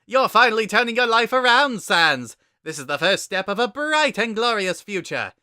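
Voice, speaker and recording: A man's voice: high voice, big pitch swings, moderate pace, sharp tone, smooth, no echo, clean studio sound, voice pushed up, full character voice. A man voicing a proud, exuberant skeleton younger brother in a dramatic story reading, declaiming each line earnestly and emphatically. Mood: proud, excited.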